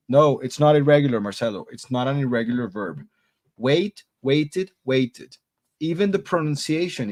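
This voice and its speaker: Upbeat male language teacher. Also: medium pitch. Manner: switches between Spanish, English and Portuguese, upbeat and encouraging; repeats praise like muy bien. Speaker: English teacher. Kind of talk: live online class reading student answers.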